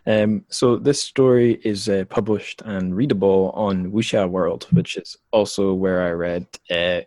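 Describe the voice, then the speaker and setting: Low-pitched man, halting podcast interviewer. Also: steady tone, a little mellow, dry recording. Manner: halting, thoughtful, hedging. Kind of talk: casual interview chat.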